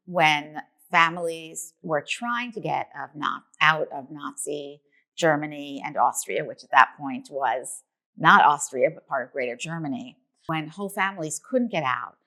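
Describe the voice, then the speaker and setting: A woman from New York with a notably low voice answers interview questions. She speaks as a documentary filmmaker, telling her story in an earnest, reflective way. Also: a bit bright.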